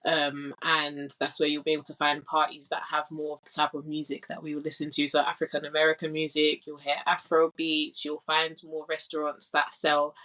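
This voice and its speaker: A woman's voice: low-pitched, completely flat delivery, brisk, few pauses, a bit bright, rough recording. A young woman with a London accent, a guest in a casual podcast interview, speaking thoughtfully and earnestly with frequent "like" and "you know". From England.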